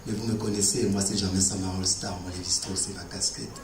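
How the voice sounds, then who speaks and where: A man reciting slam poetry as a dramatic live performance, declaiming with swings between forceful projection and hushed intimacy. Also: low-pitched, little pitch movement, fairly quick. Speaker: slam poet. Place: live poetry competition venue. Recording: roomy echo, distant mic, faint noise.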